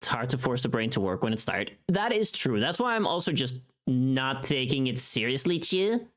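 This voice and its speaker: This is a livestreamer in casual chat with viewers. The delivery is very animated, with pitch jumping up and down around a middle register. The talk is playful and teasing, with plenty of swearing. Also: moderate pace.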